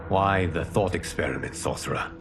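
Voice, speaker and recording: A man's voice: sits low, moderate pace, creaky, over music. A man playing a grave, heroic realm protector in a video game, with formal, commanding speech. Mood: grave, resolute. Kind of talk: cinematic video game acting.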